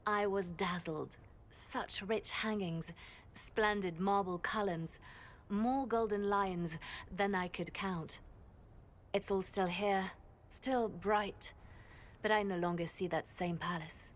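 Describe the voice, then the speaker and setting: An actress playing a composed spymaster who speaks softly and deliberately, with a conspiratorial edge. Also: female voice, mid-range voice, steady tone, natural voice. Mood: measured. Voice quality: soft, measured, quietly conspiratorial. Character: composed, scheming spymaster woman.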